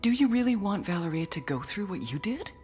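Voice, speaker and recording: A woman acting a tough, foul-mouthed intelligence officer in a video game cutscene, with a deliberate, hard-edged, menacing delivery. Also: mid-range voice, very animated, over music, lightly acted. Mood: cold, menacing.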